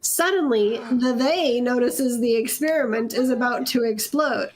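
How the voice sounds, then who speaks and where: A woman's voice: fairly high pitch, a little slow. A woman narrating fan fiction aloud for laughs in an amused, theatrical storytelling way. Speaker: narrator in a comedy reading group.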